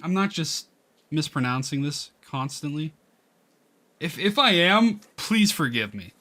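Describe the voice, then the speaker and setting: Bright-voiced man reading a game aloud. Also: on the high side, lively intonation, measured pace. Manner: stumbles over lines and rereads them. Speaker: game streamer reading a visual novel aloud. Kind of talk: reading game text aloud with casual asides. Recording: music playing behind the voice. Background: game background music.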